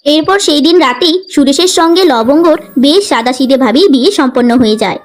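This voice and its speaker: A woman's voice: high voice, expressive, a little fast. A woman reading scripted narration for a moral story as the storyteller.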